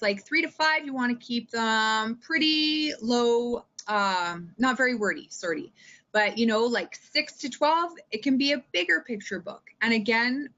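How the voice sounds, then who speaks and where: A Canadian woman giving an informal instructional talk in an expressive, chatty way that tends to wander. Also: a little high, lo-fi sound. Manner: animated, expressive, digressive. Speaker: teacher librarian and presenter.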